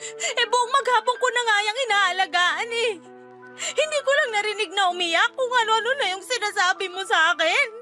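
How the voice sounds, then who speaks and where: A woman's voice: extremely high pitch, lively intonation, moderate pace, soft background music, exaggerated voice. A woman playing a resentful, hot-tempered Filipino wife and adoptive mother in a radio drama, exasperated and defensive. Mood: irritated, angry. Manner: exasperated, defensive, sharp-tongued.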